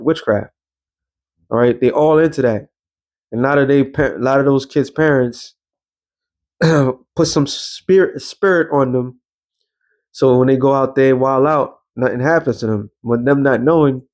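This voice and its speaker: A man's voice: mid-range voice, a little mellow. Blunt New man preaching hard. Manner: blunt, profane, street-preacher cadence. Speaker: commentator. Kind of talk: ranting commentary mixed with scripture reading.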